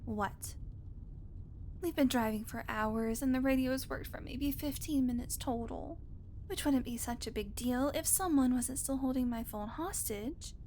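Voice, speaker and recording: A snarky female vampire played by a woman in an audio roleplay, with a fairly high voice. She complains theatrically and teases in a casual, sweary way. A noticeable rumble sits behind her. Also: a little slow, darkish tone. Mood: exasperated. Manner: sarcastic, teasing, dramatic complaining, casual swearing. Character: snarky, irreverent female vampire road-trip passenger, teasing and bored. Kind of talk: audio roleplay acting, conversational monologue to the listener.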